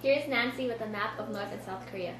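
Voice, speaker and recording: Young female student anchor, slightly high pitch, reading news copy, distant echoey mic. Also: brisk. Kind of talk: scripted amateur newscast. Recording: echoey room, distant mic, clear noise.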